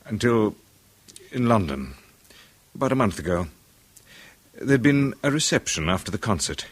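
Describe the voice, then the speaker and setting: An actor playing a tormented man in a 1970s radio drama, talking haltingly with bitter nervous laughs. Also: on the low side, very animated. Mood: haunted confession. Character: tormented middle-aged male musician, haunted and bitter.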